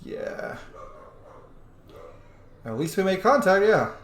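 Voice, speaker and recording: Roomy, casual male gamer, slightly high voice. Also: big pitch swings, takes it slowly, a little hiss or hum. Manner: informal, profane. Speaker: streamer, self-styled dungeon master. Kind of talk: casual let's-play roleplay commentary.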